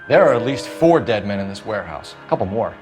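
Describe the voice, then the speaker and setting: Male actor for stern game detective: deep-leaning voice, authoritative, clipped, driven, faint score behind. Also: animated, quick pace, over music, close to an everyday voice. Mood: cold, pressing. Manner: commanding, clipped interrogation. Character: stern, driven male police detective, hard-nosed and controlled. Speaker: police detective. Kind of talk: cinematic video game acting.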